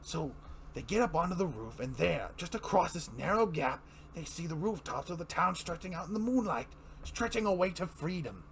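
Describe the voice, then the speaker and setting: A man's voice: a little high, lively intonation, a bit bright, slight background noise, voice pushed up. A man performing a manic, mocking clown supervillain in a theatrical comic-book dramatic reading, prone to giggles. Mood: amused, manic. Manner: theatrical, drawn-out, swooping, breaking into giggles.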